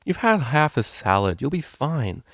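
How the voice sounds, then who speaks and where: A man's voice: mid-range voice, sing-song, a little slow, fairly bright tone, no echo, studio quality, voice pushed up. A man voicing a brash young female unicorn DJ in a slangy, offhand storybook reading. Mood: playful, cocky.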